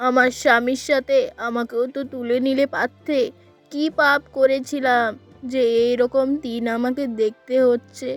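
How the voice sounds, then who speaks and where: A woman voicing a hungry, frail old mother-in-law who pleads softly. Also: high voice. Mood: despairing. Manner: pleading, gentle, weary.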